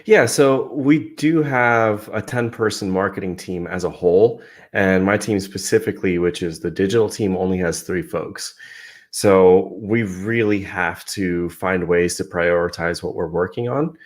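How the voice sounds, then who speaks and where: Very deep-voiced man explaining. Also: a little slow. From San Francisco, United States. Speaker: digital marketer, guest. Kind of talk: casual video-call interview. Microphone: podcast-style microphone.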